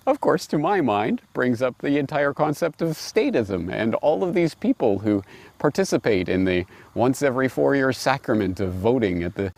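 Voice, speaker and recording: A middle-aged man presenting and reading aloud in a musing, wry, expressive way, recorded outdoors on a lapel mic. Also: mid-range voice, normal speed, dry recording. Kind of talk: reflective commentary and storybook reading. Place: outdoors in a grassy park.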